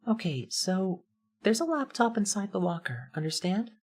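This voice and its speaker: A woman's voice: medium pitch, very animated, moderate pace, a little mellow, distant mic, close to an everyday voice. Woman voicing a hesitant teenage boy. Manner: hesitant, stammering. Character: mild-mannered teenage boy narrator. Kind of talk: fan dub of an anime game.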